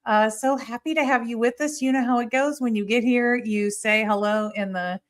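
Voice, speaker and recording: A woman's voice: a little high, steady tone, normal speed. A woman hosting a live podcast over a video call, greeting viewers by name in a chatty way. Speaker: podcast host. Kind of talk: live-streamed podcast chat.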